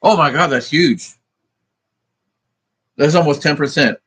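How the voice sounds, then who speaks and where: Husky-voiced man rambling and swearing. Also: on the high side, unhurried, fairly bright tone. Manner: profane, meandering, thinks aloud while doing sums. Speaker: vlogger. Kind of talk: rambling monologue.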